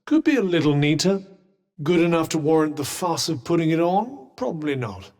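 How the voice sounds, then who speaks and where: A man's voice: fairly high pitch, sing-song, normal speed, darkish tone, big-room sound. An actor voicing a witty, sarcastic male talking magic cuff in a video game, teasing in a dry tone.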